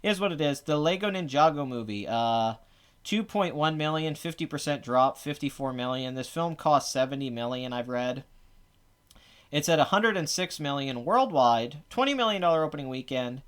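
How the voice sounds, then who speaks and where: A man with a raspy, phlegmy voice and a clogged throat, hosting a casual, rambling box office talk with blunt, sarcastic asides. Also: fairly high pitch, expressive, moderate pace. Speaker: box office show host. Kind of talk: casual live commentary.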